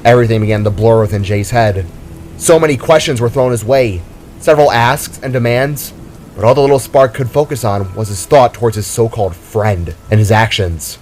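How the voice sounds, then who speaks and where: A man's voice: mid-range voice, fairly quick, darkish tone, slight background noise. A man reading scripted dramatic narration as the author of the story.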